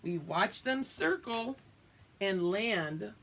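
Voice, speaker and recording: Expressive woman teacher reading aloud, lively pitch. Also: creaky. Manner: expressive, drawn-out reading with teacherly explanations and check-ins. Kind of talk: read-aloud picture book lesson with explanatory asides.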